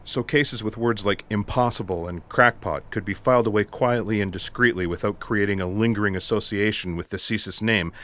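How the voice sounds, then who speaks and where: A man's voice: on the low side, brisk, hardly any pauses, a bit bright, close to an everyday voice. A man playing an unflappable special agent in a comic audio drama, dry and matter-of-fact, with wordy explanations. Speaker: voice actor. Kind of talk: comic sci-fi audio theatre acting.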